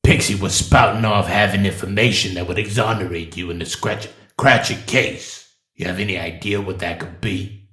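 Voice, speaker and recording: A man's voice: sits low, fairly even intonation, normal speed, fairly bright tone, reverberant. A man playing a probing, dryly unimpressed detective in a hard-boiled radio drama. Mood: calm, questioning.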